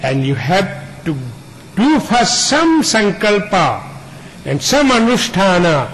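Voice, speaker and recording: A man with a noticeably high voice gives a spiritual teaching at a very slow, deliberate pace. He speaks earnestly, stacking up repeated lists of words. A faint hiss of background noise runs under him. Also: big pitch swings, a bit bright, low-quality recording. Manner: unhurried, builds long repeated lists. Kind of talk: spiritual discourse to an audience.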